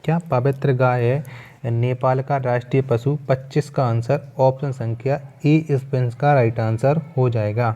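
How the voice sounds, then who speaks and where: Male exam-prep teacher, relaxed dark tone, mid pitch, repeats each question and answer for learners. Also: dull audio. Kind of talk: quiz lesson, reading questions and answers.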